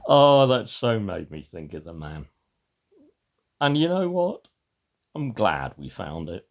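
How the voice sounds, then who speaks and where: An older or middle-aged man talking to camera in a rambling, personal way, gravelly and husky, halting and then suddenly emphatic. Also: on the low side, sing-song. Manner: emphatic, halting, with dramatic swells and drops. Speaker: video maker giving a reading.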